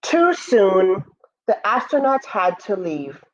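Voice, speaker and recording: Brief: female school storyteller, expressive and lively, unhurried, addressing children directly, slightly dull audio. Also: medium pitch. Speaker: school staff member reading a picture book to pupils. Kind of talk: children's read-aloud with asides.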